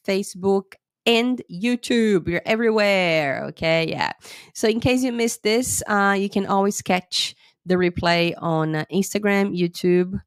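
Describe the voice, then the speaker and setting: A woman's voice: mid-range voice, no room sound, studio quality. Chatty woman teacher with a slight cold. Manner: chatty, lots of "okay?" checks with viewers; speaks a little slowly. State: a little sick with a cold, sore throat. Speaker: English teacher and IELTS tutor. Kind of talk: live-streamed lesson.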